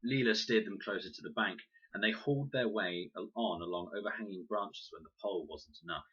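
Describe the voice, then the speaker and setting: A man's voice: fairly low pitch, brisk, fairly bright tone, husky, lo-fi sound. A man reading a children's adventure novel aloud as scripted storybook narration. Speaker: audiobook reader.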